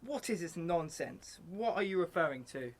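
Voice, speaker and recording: A man's voice: on the high side, expressive, moderate pace, heavy background noise, lightly acted. A man playing a pompous, condescending and impatient military officer in a radio play. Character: scornful, impatient city military man, a sceptical Major.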